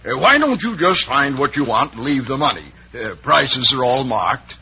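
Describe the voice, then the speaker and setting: An actor playing a cocky, bossy crook who poses as a folksy rancher in an old-time western radio drama. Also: male voice, mid-range voice, big pitch swings, normal speed, full character voice. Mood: falsely friendly. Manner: folksy, smooth-talking, cocky. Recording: noisy, muffled old radio recording.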